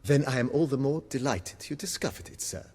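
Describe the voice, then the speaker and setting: Fussy deferential game butler, eloquent and formal, animated intonation, breathy husky tone, long reverb. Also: male voice, medium pitch, a little fast. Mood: eager, deferential. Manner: formal, obsequious, florid, swooping delivery. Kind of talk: video game voice acting.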